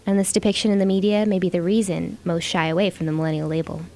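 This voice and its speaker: A woman's voice: on the low side, little pitch movement, quick pace, creaky, warm tone. An American woman reading scripted narration as a student reporter.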